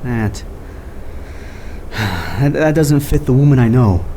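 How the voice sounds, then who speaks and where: A doubting detective partner in an audio drama, voiced by a man. He questions and pushes back in a mellow, mid-pitched voice. Faint hiss lies behind him. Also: expressive, slow pace. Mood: questioning. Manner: skeptical, questioning.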